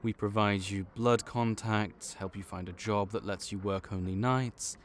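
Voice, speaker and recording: A man's voice: fairly low pitch, fairly even intonation, unhurried, a little hiss or hum, close to an everyday voice. A man voicing a male vampire intake officer in an audio roleplay, matter-of-fact and wry as he walks through the paperwork.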